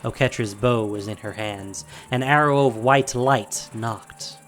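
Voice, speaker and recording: A man with a mid-pitched voice narrates dramatic fantasy as a scripted story. Faint music and insect sounds sit behind him. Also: measured pace, a bit bright.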